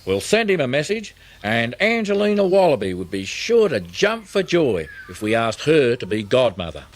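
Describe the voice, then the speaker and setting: A man voicing a gruff, decisive father koala in a children's storybook, plain-spoken and grunting. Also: mid-range voice, huge pitch swings, normal speed, slight background noise. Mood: planning.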